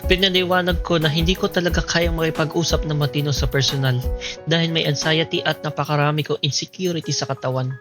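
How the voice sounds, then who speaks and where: A man's voice: a little high, steady tone, fast, lightly acted. Man playing a shy, lovestruck Filipino student, music behind. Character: shy, insecure, lovestruck young male student. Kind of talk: amateur Filipino radio drama acting.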